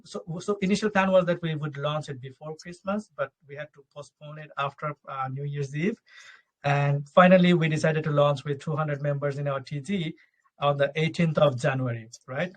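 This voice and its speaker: A young man from Bangladesh, a crypto token founder, talking loosely in a live AMA and often adding "right?" to his sentences. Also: fairly high pitch. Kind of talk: live audio AMA talk. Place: Luxembourg.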